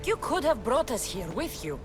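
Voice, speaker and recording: An actress playing a determined, defiant warrior daughter of the ancient world in a video game, direct and insistent. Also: female voice, fairly high pitch, big pitch swings, normal speed, music in the background. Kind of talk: dramatic video game acting.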